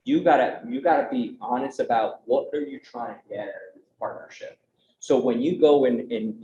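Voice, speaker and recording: A man's voice: medium pitch, mellow. Young adult man on a conference panel, earnest and conversational, recorded in a roomy space with slight hiss. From United States. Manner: earnest, conversational, frequent "right?" tag questions. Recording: roomy, dull, faint background noise.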